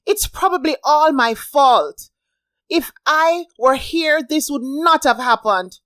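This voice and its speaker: A woman's voice: on the high side, lively intonation, measured pace, a bit bright, dry recording, pitched above the performer's own voice. A woman voicing a protective, motherly woman friend who is indignant and emphatic. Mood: furious.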